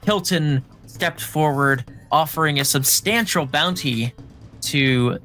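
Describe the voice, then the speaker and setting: A man's voice: fairly high pitch, takes it slowly, pushed and bright, soft background music. A man acting as game master, narrating a dramatic recap and explaining rules casually.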